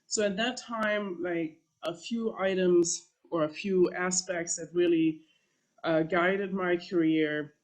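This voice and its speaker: A woman's voice: fairly low pitch, a little mellow. A woman from Germany with a German accent, a professor presenting to a panel in a conversational way with small restarts. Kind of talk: presentation talk.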